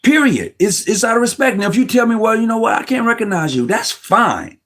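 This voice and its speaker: A man's voice: high-pitched, animated, fairly quick, fairly bright tone. A man hosting a casual discussion about Freemasonry, talking emphatically and often restarting his words as he argues. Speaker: host.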